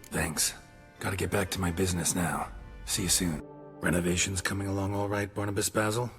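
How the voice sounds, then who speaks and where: Fantasy game monster hunter, male actor: low register, terse, practical, gruff understatement over music. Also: fairly even intonation, fairly quick, lightly acted. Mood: matter-of-fact. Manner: terse, clipped, understated phrasing. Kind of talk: video game cutscene acting. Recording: music clearly behind the voice.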